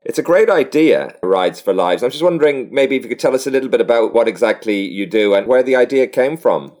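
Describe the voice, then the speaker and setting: A man's voice: medium pitch, lively intonation, fairly quick, darkish tone. A man hosting an interview, reading a formal guest introduction and asking questions politely. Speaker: interview host.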